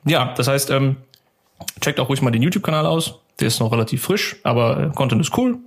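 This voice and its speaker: Male podcast co-host, medium pitch with plenty of creak, informal and easygoing. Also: lively intonation, fairly quick. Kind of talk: casual podcast chat.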